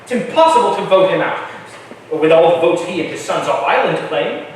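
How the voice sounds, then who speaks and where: Male actor as persuasive colonial schemer for stage musical: high, very animated, declamatory, heard from afar in an echoey room. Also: fairly quick, recorded from a distance, a little hiss or hum. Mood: urgent, persuasive. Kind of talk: staged musical reading, spoken and sung. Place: live staged reading in a large echoey room.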